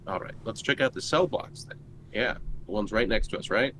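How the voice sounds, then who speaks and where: Man, slightly deep voice, casual tabletop player chat, faint background noise. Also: a little fast.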